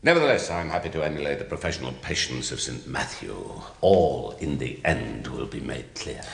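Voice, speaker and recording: Older ex-soldier, radio drama, gravelly, formal, wry, wordy, roomy sound. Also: male voice, rumbling low, very animated, moderate pace, full character voice. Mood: dry, dignified.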